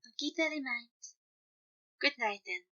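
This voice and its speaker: A woman voicing a gentle, apologetic, fatherly man with a soft-hearted, encouraging manner in a story reading. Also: high voice, expressive, measured pace, highs cut off, voice pushed up, heavily acted. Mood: reassuring.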